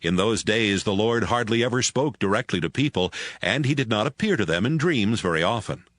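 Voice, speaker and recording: Lively low-voiced male scripture narrator. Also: a little fast, dry recording.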